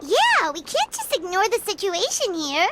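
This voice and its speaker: A woman voicing a tiny, childlike fairy companion in a video game, squeaky, earnest and inquisitive. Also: huge pitch swings, moderate pace, very noisy, heavily acted. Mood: earnest, curious. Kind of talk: cartoonish video game acting. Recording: loud music under the voice.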